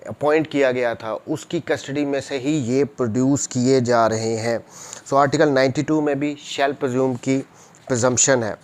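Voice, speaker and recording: A man's voice: medium pitch, darkish tone. A man with a Pakistani accent lecturing in a didactic way, reading formal text aloud and explaining it, switching between two languages. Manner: didactic, code-switches between Urdu and English. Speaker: law lecturer.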